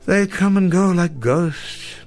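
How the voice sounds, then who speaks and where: A man's voice: sits high, takes it slowly, a little mellow, soft background music. An actor playing a melancholy old male schoolteacher in a radio drama, with wistful, eloquent and formal old-fashioned phrasing. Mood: despairing, self-reproachful. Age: older. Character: weary, melancholy old male schoolteacher, bookish and self-reproaching. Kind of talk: dramatic radio play acting.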